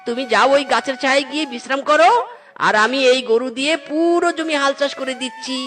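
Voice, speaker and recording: A woman's voice: sits high, fast, fairly bright tone. A woman voicing a cheeky, scheming young male fox in a cartoon, wheedling and theatrical, with music underneath. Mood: eager, wheedling. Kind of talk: animated cartoon dialogue.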